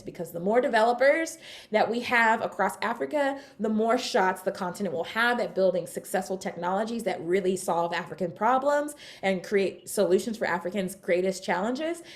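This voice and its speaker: Woman, keynote speaker; chatty, energetic, mid-pitched, talks straight to the crowd. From California, United States. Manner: chatty, upbeat, addresses audience with shout-outs. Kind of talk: energetic conference talk. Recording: online talk.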